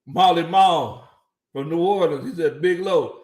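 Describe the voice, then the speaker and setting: A man's voice: on the high side, a little slow, darkish tone. A man hosting a sports livestream, reading viewer chats in a casual way and laughing often. His voice sounds a little worn out. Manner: laughs often, chuckling through his lines. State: voice slightly worn out. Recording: echoey room.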